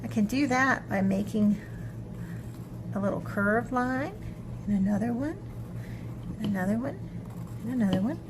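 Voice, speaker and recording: A woman with a somewhat high voice guides children through a drawing step by step. She is encouraging and informal, often thinking aloud as she works. Clear ambient noise runs behind her speech. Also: moderate pace, pauses often. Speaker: children's art teacher. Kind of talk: step-by-step drawing lesson with a storybook read-aloud.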